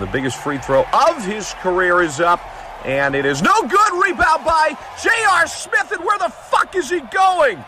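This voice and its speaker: A man doing mock basketball play-by-play as a parody sports commentator, with a profane, bombastic announcer delivery. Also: a little high. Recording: noisy, game crowd and court sounds. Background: basketball game ambience with bouncing ball.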